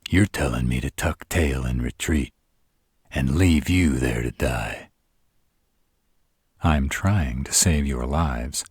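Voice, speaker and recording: A man performs an arrogant vampire in an audio roleplay. His voice is extremely deep and heavily rasped. He speaks slowly and with dramatic weight, recorded dry and close. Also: a little mellow, dry recording, full character voice. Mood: stern, angry. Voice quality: very deep, rough husky voice. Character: arrogant male vampires plotting, grave and cocky. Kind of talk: scripted audio roleplay acting.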